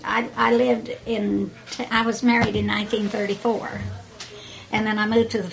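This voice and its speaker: A woman's voice: medium pitch, flat delivery, moderate pace, gravelly, over music, poor audio. A woman in her eighties reminiscing as an interviewee in a group interview. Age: 80s.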